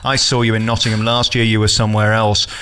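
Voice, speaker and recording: Fast, bright-voiced male radio interviewer. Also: fairly low pitch, little pitch movement, a little hiss or hum. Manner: effusive, complimentary. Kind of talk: celebrity backstage interview. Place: backstage at a theatre.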